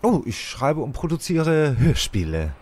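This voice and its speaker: An actor playing a nervous, evasive young radio playwright who stammers and hesitates, in a German audio drama. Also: male voice, fairly high pitch, sing-song, unhurried, darkish tone, husky, exaggerated voice. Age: young adult.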